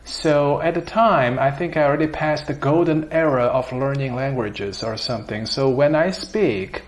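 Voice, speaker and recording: A man's voice: mid-range voice, moderate pace. A man from China speaking English with a Chinese accent, hosting an interview in a thoughtful, hesitant way. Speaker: interviewer, host. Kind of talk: conversational interview.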